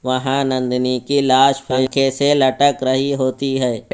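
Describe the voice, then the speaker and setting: A man's voice: mid-range voice, completely flat delivery, slow pace, a little mellow, smooth. A man narrating a scripted horror story as its storyteller.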